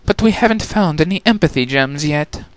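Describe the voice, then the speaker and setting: A narrator voicing a confident, smug changeling sister who talks in a brisk, commanding way. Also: medium pitch, lively intonation, dry recording, pitched above the performer's own voice, natural voice. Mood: reluctant.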